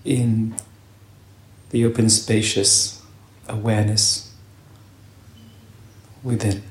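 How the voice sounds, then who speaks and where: A man leading a guided meditation with calm, gentle, unhurried instructions and long pauses between short phrases. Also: fairly low pitch. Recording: roomy echo, faint background noise.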